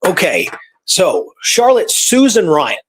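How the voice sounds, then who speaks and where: Male podcast host, slightly high voice, informal and explanatory history chat. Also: wildly swooping pitch, slow, breathy edge, poor audio. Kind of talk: casual conversational history talk.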